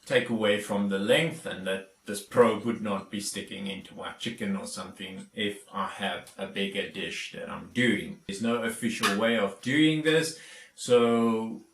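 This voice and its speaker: A man's voice: fairly low pitch, fairly bright tone, reverberant, distant mic. A South African man whose first language is Afrikaans, hosting a casual DIY vlog and explaining each step with plenty of fillers. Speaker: YouTube DIY host.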